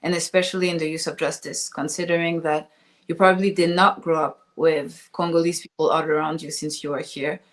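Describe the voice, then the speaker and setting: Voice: mid-range voice, flat delivery, fairly quick, fairly bright tone. A host politely asking long, winding interview questions during a live conversation.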